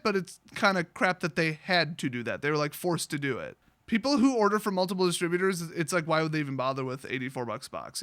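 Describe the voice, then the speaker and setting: A man talks in a high-pitched, forceful, bright voice. He rambles through his story like a casual vlogger, sarcastic, blunt and quick to swear. The sound is close and very dry, with hardly any room to it. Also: smooth, dry recording. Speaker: online vlogger telling a story, card seller.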